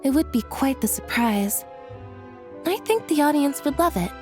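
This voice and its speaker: Cheerful stage magician, coaxing, slightly high, faint game music. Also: female voice, lively intonation, normal speed. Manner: cheerful and persuasive. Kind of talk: video game voice acting. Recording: soft game music behind.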